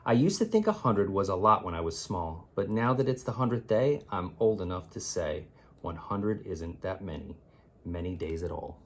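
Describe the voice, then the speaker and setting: A man reading children's rhymes aloud as a teacher would, in an expressive, theatrical way with drawn-out, emphatic words. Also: low voice. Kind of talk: expressive children's read-aloud of rhyming poems.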